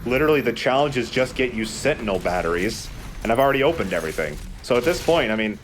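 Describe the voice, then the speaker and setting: A man's voice: mid-range voice, big pitch swings, fast talker, slight background noise. A man giving wry, rambling, sweary commentary as he plays a video game. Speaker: let's play host and player. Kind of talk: casual gameplay commentary.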